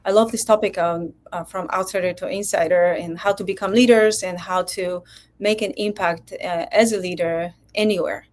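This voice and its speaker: Middle-aged woman, physician guest, candid and halting. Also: fairly low pitch, steady tone. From Poland. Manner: reflective, hesitant, many fillers. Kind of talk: candid personal interview talk.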